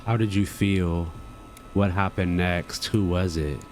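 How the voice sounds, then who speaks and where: A man's voice: low voice, fairly even intonation, slow pace, a little mellow, slight background noise. A man giving casual play-along commentary on a video game, reacting in short informal remarks. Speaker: gaming commentator.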